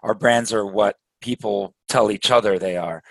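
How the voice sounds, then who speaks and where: Man from Belgium, podcast guest executive, low voice, dry close sound, relaxed and explanatory. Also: normal speed, dry recording, rough recording. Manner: relaxed, explanatory, with frequent filler words. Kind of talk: conversational interview answers.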